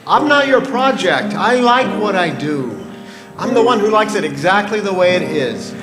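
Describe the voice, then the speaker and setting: A male actor plays a stubborn farmer who likes his simple life and stands his ground as a boyfriend. His voice sits fairly high and moves around a lot. It is a live musical reading with room echo and faint music. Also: big pitch swings, normal speed. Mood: frustrated. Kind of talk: stage musical staged reading. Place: live performance venue, picked up in the room.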